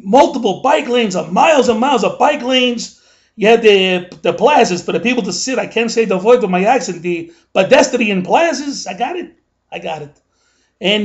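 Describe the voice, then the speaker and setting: A man playing a grumbling older male New Yorker, ranting in a rambling, indignant comic monologue full of asides. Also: very high voice.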